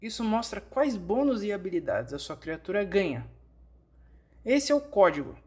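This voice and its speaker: A woman's voice: mid-range voice, sing-song, normal speed, a little mellow, breathy edge. A woman with a Brazilian accent reads scripted instructional narration, explaining things step by step as in a fan-dubbed tutorial.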